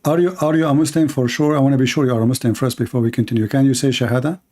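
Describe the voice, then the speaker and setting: A man's voice: medium pitch, fairly even intonation, very fast, dark tone, a little hiss or hum. A man with an Arabic accent hosting a call-in show, questioning callers insistently and telling stories with animation. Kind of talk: call-in debate.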